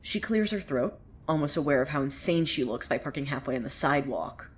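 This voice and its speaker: A woman's voice: extremely low pitch, animated, fairly quick. A woman playing a sardonic, opinionated storyteller in a fiction podcast, narrating conversationally with gossipy asides. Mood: wry, suspenseful. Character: opinionated, sardonic female storyteller who gossips about townsfolk. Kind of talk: dramatic first-person storytelling.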